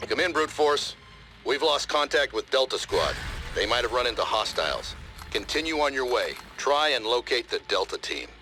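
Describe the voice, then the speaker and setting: A man's voice: medium pitch, very animated, moderate pace, music in the background. A man playing an authoritative, official mission controller in a video game, with formal military dispatch phrasing. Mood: urgent. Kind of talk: video game character acting.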